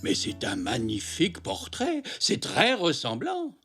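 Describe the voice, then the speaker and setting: Playful old grandpa zebra, wildly swooping delivery. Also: male voice, a little high, huge pitch swings, measured pace, bright, forceful tone, slightly rough, soft background music, heavily acted. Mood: genial, curious. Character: playful elderly grandfather zebra, kindly and theatrical. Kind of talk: French children's cartoon dubbing.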